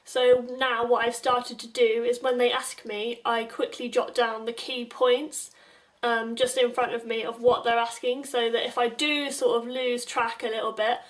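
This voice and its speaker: A young woman giving informal advice to viewers in a measured, explanatory way. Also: fairly high pitch, flat delivery. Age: young adult. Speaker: advice video presenter. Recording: distant microphone.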